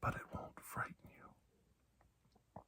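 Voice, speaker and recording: A man playing a calm, kindly doctor in a soft-spoken ASMR roleplay, reassuring and deliberate, with long pauses and breathy slips into whispering. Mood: hushed, confiding. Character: calm, kindly, ageless male doctor of alien medicine.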